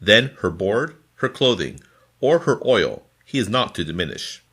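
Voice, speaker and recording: A man reading scripture from a formal translation in a deliberate, phrase-by-phrase manner. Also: on the low side, sing-song, a bit bright. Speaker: scripture reader.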